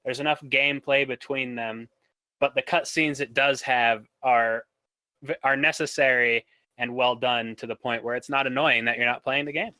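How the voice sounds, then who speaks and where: Bright-voiced man giving casual opinions. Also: mid-range voice, fairly even intonation, moderate pace. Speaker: podcast panelist. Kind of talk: casual chat, opinionated commentary.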